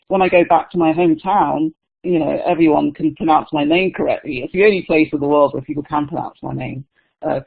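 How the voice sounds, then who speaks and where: A woman's voice: low voice, gravelly. A young woman, an author, answering questions thoughtfully in an online discussion, with lots of "you know" fillers. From England, near London. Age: young adult. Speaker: author guest. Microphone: online call audio. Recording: tinny phone-like line.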